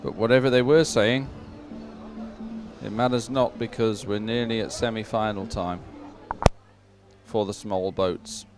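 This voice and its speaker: A man's voice: fairly low pitch, steady tone, a little slow, pauses often, over music. A man giving conversational live commentary on a sporting event as a co-commentator.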